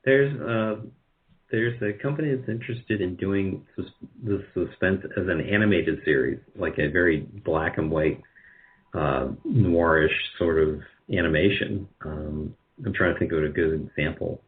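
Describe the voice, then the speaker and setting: A man's voice: deep voice, normal speed, narrow, tinny audio. A middle-aged man answering interview questions conversationally as a guest, in a hesitant, self-deprecating way. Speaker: guest, radio drama producer.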